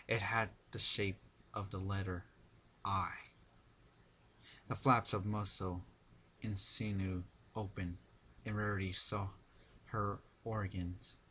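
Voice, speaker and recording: A man's voice: low-pitched, bright, forceful tone. A man narrating a dramatic reading of fan fiction, with some faint noise in the recording.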